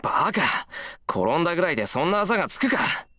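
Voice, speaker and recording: A man's voice: a little high, very animated, very fast, sharp tone, no room sound, clean studio sound, heavily acted. A man playing an earnest, blunt, protective teenage schoolboy in a Japanese visual novel. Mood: stern, angry.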